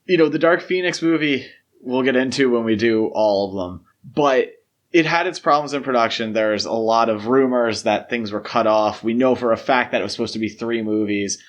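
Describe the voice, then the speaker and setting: A man's voice: medium pitch, normal speed, muffled recording. A man co-hosting a podcast in casual film discussion, giving opinionated, emphatic points and often qualifying them as he goes. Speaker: podcast host.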